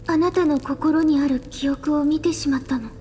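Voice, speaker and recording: A woman playing a gentle, inquisitive young female traveler in an anime-style fantasy game. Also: high voice, steady tone, quick pace, noisy background, lightly acted. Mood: apologetic.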